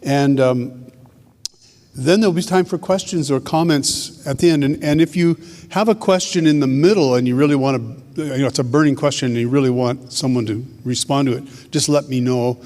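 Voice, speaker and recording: Panel moderator, man, mid pitch, easygoing, hall reverb. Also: moderate pace, a little mellow. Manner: easygoing, conversational.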